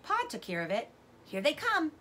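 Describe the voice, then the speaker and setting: A woman's voice: high voice, huge pitch swings, moderate pace, pushed and bright, heavily acted. A woman voicing an anxious, urgent young boy in a storybook read-aloud. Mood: reassuring. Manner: urgent, exclaiming.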